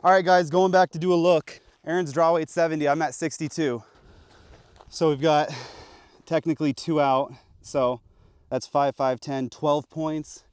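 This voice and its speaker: Young dude hyped up, slangy, shooting bows outside, slightly high voice, dry sound. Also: male voice, fairly even intonation, a little slow, clean tone, no echo. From Pacific Northwest of the US. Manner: hyped, slangy, talks to camera. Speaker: vlogger. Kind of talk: excited outdoor archery vlog. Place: open public land outdoors. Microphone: action camera.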